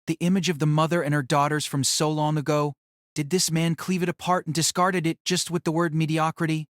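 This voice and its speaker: A man's voice: a little high, flat delivery, fairly quick, no echo. Dramatic male narrator, dry close sound. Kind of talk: dramatic scripted narration of a fantasy novel.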